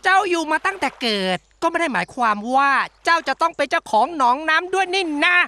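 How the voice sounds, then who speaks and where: A woman's voice: very high-pitched, animated, fast talker, pushed and bright, exaggerated voice. A woman voicing a plucky young pond animal who argues back. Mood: defiant. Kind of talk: cartoon fable voice acting.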